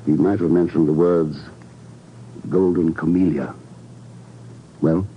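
An actor playing a cool, wry secret agent in a 1950s radio drama, clipped and self-assured. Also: male voice, sits low, fairly even intonation, slow pace. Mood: cool, probing. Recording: muffled, noisy 1950s radio recording.

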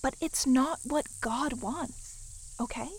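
A woman acting a falsely gentle, high-voiced camp leader. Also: breathy edge, full character voice. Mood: sweetly condescending. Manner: soft, measured, pointed, falsely gentle. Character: controlled, sweetly condescending woman camp leader confronting a girl. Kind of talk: horror audio drama acting.

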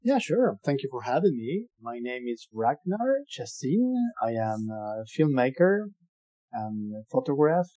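A man from Venezuela, a guest artist in a podcast interview, who talks in a halting way, repeating words and searching for phrases. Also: mid-range voice, sing-song, warm tone.

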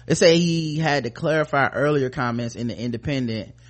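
An American man co-hosting a podcast, casually talking through the news and reading bits of articles in a halting, informal way. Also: mid-range voice. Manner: informal, halting, often trailing off mid-thought. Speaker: podcast co-host.

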